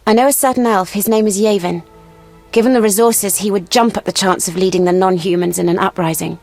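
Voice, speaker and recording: A woman's voice: medium pitch, fairly even intonation, brisk, a little mellow, faint music behind, close to an everyday voice. Woman acting a proud, grave elf huntress. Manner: formal, grave. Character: proud, serious elven woman, a wounded monster hunter. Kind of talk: video game dialogue.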